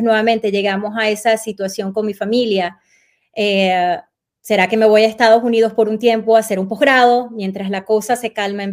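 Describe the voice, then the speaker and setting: A Venezuelan woman in her forties, a journalist being interviewed, recalling her path in a reflective storytelling way and pausing to search for words. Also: medium pitch, a little mellow. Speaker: guest journalist. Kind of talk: relaxed conversational interview.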